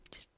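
Slow whispering British woman. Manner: soft whisper, slow deliberate repetition of words. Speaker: ASMR creator. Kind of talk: ASMR whispering.

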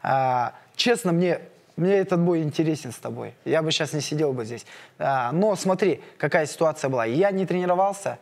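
Young man, quite high voice, halting speech with fillers, fighter at a studio press event. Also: animated, vocal fry, darkish tone. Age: young adult. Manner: hesitant, many filler sounds. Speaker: MMA fighter. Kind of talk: studio press conference chat. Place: dark studio set, seated in armchair.

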